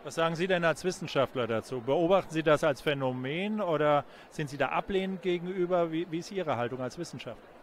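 Higher-pitched male interviewer outdoors. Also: fairly quick, a little hiss or hum. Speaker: interviewer, TV journalist. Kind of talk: conversational interview questioning. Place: busy event courtyard in daylight. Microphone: handheld reporter microphone. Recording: outdoor urban ambience behind voice.

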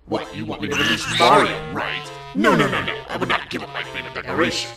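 A man voicing a gleeful, taunting ghost king from a cartoonish video game, gravelly and theatrical. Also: on the low side, sing-song, fast talker. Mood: smug taunting. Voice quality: creaky, gravelly. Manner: mocking, theatrical. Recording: echoey, music underneath.

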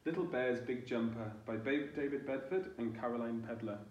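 A man reading a children's storybook aloud as a teacher would, expressive and deliberate, stressing the action words. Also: medium pitch, dull audio, slight background noise.

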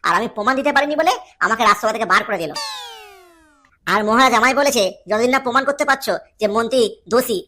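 Earnest jester and village husband for comic cartoon, female performer: fast, high, homely. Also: bright, forceful tone. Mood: dejected, aggrieved. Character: loyal, wronged male court jester, a village husband.

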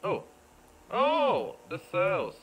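A man's voice: sits high, big pitch swings, very slow, a bit bright, poor audio. A man giving casual, off-the-cuff game commentary, muttering playfully to himself, repeating phrases and exclaiming as he plays. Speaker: gamer recording a let's play.

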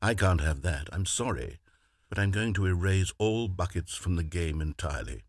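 A man's voice: low-pitched, moderate pace, no room sound. A man playing a fussy, theatrical video game narrator, dramatic, exasperated and condescending by turns. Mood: despairing. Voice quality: husky, very animated delivery. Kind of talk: scripted video game voice acting. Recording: dry close studio recording.

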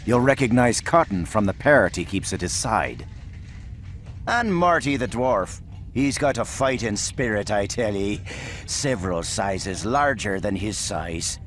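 Salty pirate mate, hearty, animated, faint background noise, video game. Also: male voice, on the low side, measured pace. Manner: salty, jovial pirate talk. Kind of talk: video game cutscene acting.

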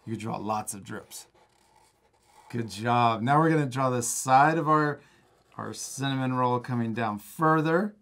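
A man's voice: mid-range voice, unhurried, a bit bright. A man in his thirties hosts a children's drawing lesson. He talks casually, step by step, with an encouraging manner and frequent chuckles. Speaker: drawing instructor and host. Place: indoor studio, at a table.

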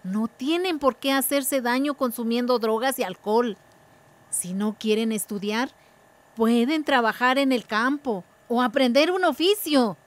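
A woman's voice: a little high, measured pace, lightly acted. A woman playing a determined, earnest village woman speaking up in a radio drama. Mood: firm, reflective. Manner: earnest, declarative.

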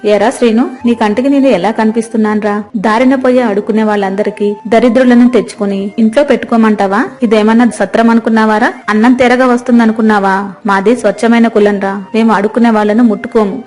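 A haughty village housewife from a storybook tale, voiced by a woman, scolds with open contempt. Her sharp-tongued delivery is fairly high in pitch, with faint music underneath. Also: steady tone, fast, close to an everyday voice. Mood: indignant, scornful.

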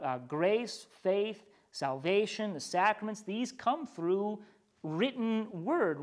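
An American man teaching a small church study group, moving between reading aloud and informal explanation in a conversational way. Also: high-pitched.

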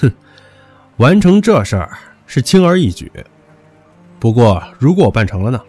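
An actor playing a hot-tempered, possessive young rich heir in an audio drama, brash and taunting. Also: male voice, mid-range voice, very animated, moderate pace, a little mellow, husky, soft background music. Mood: cocky, menacing. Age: young adult. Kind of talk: Chinese audio drama acting.

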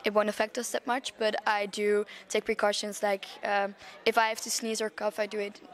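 Brief: twenties student woman from the Netherlands, even monotone delivery. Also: mid-range voice, normal speed. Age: 20s. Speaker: student interviewee. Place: indoor university room. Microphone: handheld interviewer mic.

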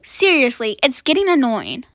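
Woman acting a snappy high-voiced camper girl. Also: wildly swooping pitch, normal speed, a bit bright, no room sound, studio quality, exaggerated voice. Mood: annoyed, scolding. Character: bossy, dismissive young camper girl, easily annoyed. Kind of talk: amateur voiced toy-doll roleplay.

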